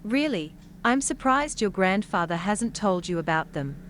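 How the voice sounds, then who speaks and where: A medium-pitched woman's voice acting as a tall comic shop owner in a comedy horror audio drama. She is dry, knowing and unimpressed, with clear noise in the background. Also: animated, moderate pace, lightly acted. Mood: dry, annoyed.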